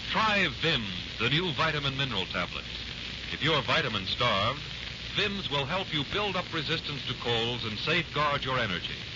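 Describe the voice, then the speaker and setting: A man announcing a 1940s radio advertisement as a punchy sales pitch. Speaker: commercial announcer. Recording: muffled, hissy 1940s radio broadcast.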